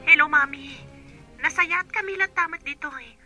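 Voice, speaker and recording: Anxious young daughter, extremely high, very sharp voice, radio drama phone scene, music behind. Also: female voice, normal speed. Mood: concerned. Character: anxious grown daughter calling her mother from afar. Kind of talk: Ilocano radio drama acting.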